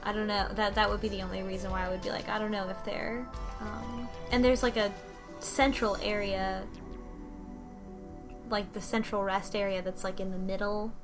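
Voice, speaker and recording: A woman chatting casually about a game in a halting way, as if working out her ideas while she talks. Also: mid-range voice, little pitch movement. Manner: halting, thinking aloud. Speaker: podcast panelist. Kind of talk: casual chat about a visual novel. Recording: music behind the voice. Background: music.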